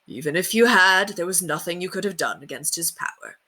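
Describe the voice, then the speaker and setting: A woman's voice: on the low side, lively intonation, fairly quick, sharp tone. A woman as a noble, grave knight. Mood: tender. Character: noble male knight, aged lord, grave and warm-hearted.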